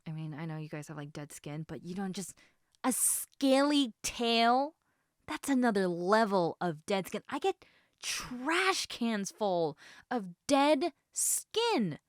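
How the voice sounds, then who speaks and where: A woman voicing an affectionate, teasing half-snake lamia in a casual, intimate audio roleplay, chatting informally with halting, trailing thoughts. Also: medium pitch, very animated, no echo, clean studio sound. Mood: mildly exasperated.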